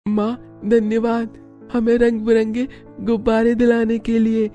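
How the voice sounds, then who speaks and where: A man's voice: extremely high pitch, completely flat delivery, measured pace, mellow, soft background music, pitched above the performer's own voice. A man voicing an eager little village child in a cartoon story, childlike and pleading. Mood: grateful.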